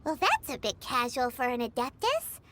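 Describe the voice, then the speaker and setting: A woman voicing a tiny, childlike fairy companion in a video game, inquisitive and cheeky, with a squeaky voice and exclamatory delivery. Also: huge pitch swings, moderate pace, fairly bright tone, a little hiss or hum, exaggerated voice. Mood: skeptical. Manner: exclamatory, questioning, refers to herself by name. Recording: playful music under the voice. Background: tinkling playful music.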